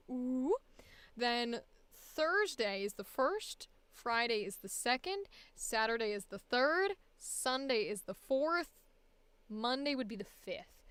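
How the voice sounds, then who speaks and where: A woman's voice: fairly high pitch, a bit bright, smooth, dry recording. A woman voice actor chatting casually between auditions, thinking aloud in a rambling way, recorded close on a studio mic. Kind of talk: casual livestream chat while recording auditions.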